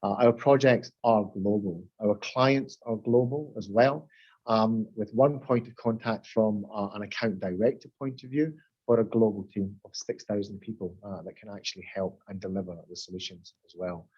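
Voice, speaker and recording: A man's voice: on the low side, fairly even intonation, moderate pace, darkish tone, breathy edge, lo-fi sound. A man with a Glaswegian Scottish accent, hosting a webinar panel in a casual, chatty way with loose restarts, heard over a video call. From Glasgow, Scotland. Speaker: webinar host.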